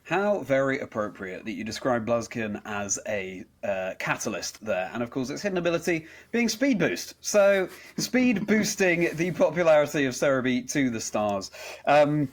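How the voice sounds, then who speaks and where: Guy doing interview host stuff, kinda formal and eloquent, middle pitched voice. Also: sing-song, moderate pace. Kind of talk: polished interview hosting.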